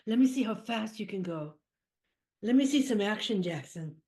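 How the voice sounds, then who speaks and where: A woman's voice: mid-range voice, expressive, moderate pace, mellow, close to an everyday voice. A woman in an improv scene playing a female teddy bear who longs to be bought, talking in a dramatic, fond way. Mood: coaxing. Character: wistful unsold female teddy bear, longing.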